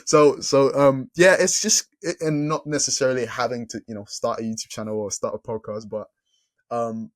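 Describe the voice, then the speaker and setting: A man hosting a casual podcast interview, relaxed, laughing often and repeating words as he thinks. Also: mid-range voice, moderate pace. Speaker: podcast host.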